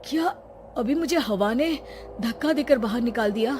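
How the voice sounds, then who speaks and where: A woman's voice: on the high side, moderate pace, background noise, close to an everyday voice. Woman voicing a curious, bold young man. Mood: astonished. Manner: questioning, emphatic. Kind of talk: cartoon story dialogue.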